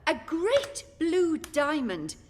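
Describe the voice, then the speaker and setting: Woman acting a high, slow-spoken housekeeper, echoing. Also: very animated, a little mellow, a little hiss or hum, heavily acted. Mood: amazed. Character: humble, wonder-struck female housekeeper. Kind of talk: radio play acting. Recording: echoing hall-like reverb.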